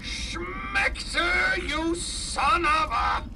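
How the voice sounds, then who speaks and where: An actor playing a tiny, earnest old male scientist in a video game, dramatic and quick to indignation. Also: very high-pitched, gravelly, full character voice. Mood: indignant. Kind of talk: dramatic game cutscene acting. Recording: distant, loud music behind. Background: loud game music.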